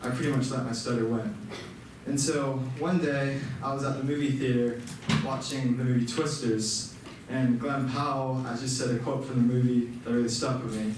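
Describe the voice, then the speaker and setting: A man's voice: mid-range voice, fairly even intonation, moderate pace, background noise. A young man who stutters, giving an earnest personal speech to an audience, with repeated sounds and restarts. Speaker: student speaker. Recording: echoey hall, mic far off.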